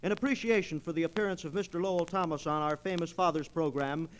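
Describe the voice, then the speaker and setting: A male American announcer with a high-pitched voice. He delivers scripted lines in formal 1940s radio fashion. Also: fast, a little hiss or hum, low-quality recording.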